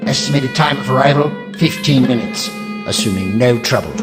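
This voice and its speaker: Guy acting eccentric time traveller in sci-fi audio drama, mid pitch, clipped n formal, music under it. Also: close to an everyday voice. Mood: cold, detached. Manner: measured, clipped, formal statements. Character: eccentric male time traveller under cold machine control.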